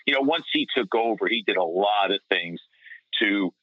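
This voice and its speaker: A man's voice: on the low side, expressive, moderate pace, no room sound. A man giving casual sports analysis as a podcast panelist, over a dull remote line.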